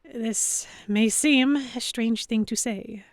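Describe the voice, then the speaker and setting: A woman's voice: a little high, takes it slowly, no room sound. Woman acting earnest heroine narrator, close dry sound. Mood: awkward, apologetic. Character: earnest, anxious young woman tending a pirate's wounds. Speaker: narrator and lead character. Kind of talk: first-person dramatic audiobook-style narration.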